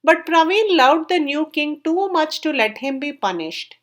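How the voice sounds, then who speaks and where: A woman's voice: high voice, lively intonation, a little slow, a bit bright. A woman reading a scripted story aloud as a storyteller.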